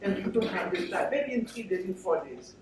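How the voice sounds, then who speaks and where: A middle-aged or older man with a Haitian accent, speaking to an audience in halting, short phrases as a guest speaker. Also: high voice, sing-song. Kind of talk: personal story told to an audience. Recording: very echoey, distant microphone, faint noise.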